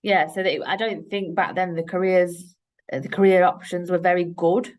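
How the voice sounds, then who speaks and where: A woman with a Yorkshire accent and a lowish voice talks plainly and directly about her work. She sounds to be in her late thirties. She speaks as an interview guest in an easy, practical way, with plenty of ums. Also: little pitch movement, moderate pace, a little mellow. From Yorkshire, England. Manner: direct, practical, frank, with fillers. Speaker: guest, head of operations. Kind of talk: podcast interview, casual chat.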